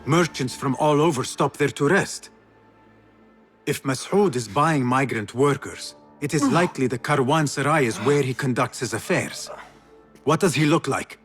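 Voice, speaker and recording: A man voicing a calm, earnest young assassin apprentice in a video game. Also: mid-range voice, moderate pace, close to an everyday voice. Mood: focused, serious. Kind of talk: video game dialogue acting. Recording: music under the dialogue.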